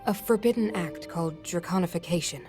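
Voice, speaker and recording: A woman's voice: on the low side, a little fast, over music, natural voice. A woman playing a scholarly, composed sage of an ancient people in a video game cutscene, speaking precisely as she explains. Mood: explaining solemnly.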